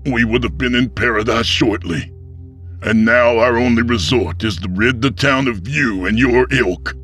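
A grim, righteous pastor of a frontier town, acted out by a male narrator, pitched well above a plain reading voice. He preaches with bitter, spiteful conviction in a dramatic audiobook, over faint music. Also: normal speed, a bit bright, natural voice. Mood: righteous, scornful.

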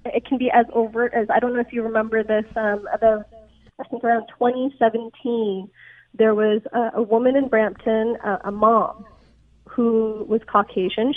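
A woman's voice: a little high, no echo. Woman doctor on a tinny phone line. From Pakistan. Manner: earnest, explanatory, frequent "right?" check-ins. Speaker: physician guest. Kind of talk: radio interview. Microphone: phone call.

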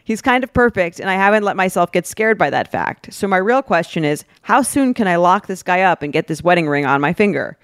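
A woman's voice: on the low side, lively intonation, a little fast, few pauses, smooth, dry recording. A woman co-hosting a podcast, reading a script aloud with some casual banter. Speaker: podcast co-host.

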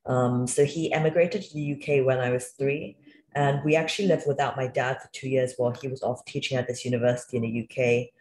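A woman in her thirties, raised in Wales after a childhood move from China, recounting her upbringing in a relaxed, reflective interview manner with frequent "um"s. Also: very deep voice, a little fast. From born in China, raised in Wales. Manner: reflective, candid, uses fillers like um and you know. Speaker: guest, entrepreneur. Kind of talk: conversational interview storytelling. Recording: echoey room.

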